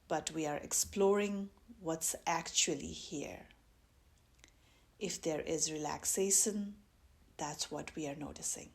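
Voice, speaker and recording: Slow, low-voiced woman guiding meditation. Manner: gentle, measured, with long pauses. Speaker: mindfulness meditation teacher. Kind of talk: guided meditation instruction.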